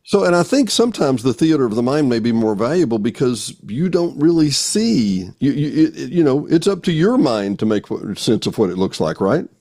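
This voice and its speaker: A man's voice: medium pitch, very animated, normal speed, few pauses, warm tone. A man hosting an interview in a casual, conversational style. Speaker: interview host. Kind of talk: casual chat.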